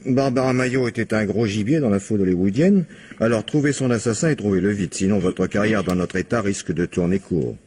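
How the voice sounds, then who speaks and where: An actor playing a skeptical, imperious coroner in a French radio crime drama, dry and authoritative. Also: male voice, on the low side, fairly quick, a little mellow, slightly rough. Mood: stern, pressing. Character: skeptical, imperious male coroner pressing a detective.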